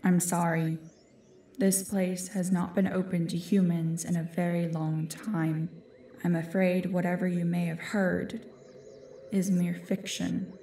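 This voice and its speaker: A woman's voice: on the low side, level tone, unhurried, dark tone, slight background noise. A woman playing an ancient, aloof forest guardian spirit who speaks formally and commandingly in a fantasy audio drama. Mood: guarded. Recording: echoey, distant-sounding voice.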